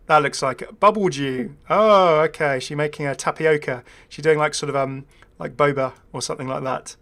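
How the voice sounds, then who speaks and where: A man's voice: a little high, normal speed, fairly bright tone. Chatty, rambling man doing game commentary. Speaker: gaming video host.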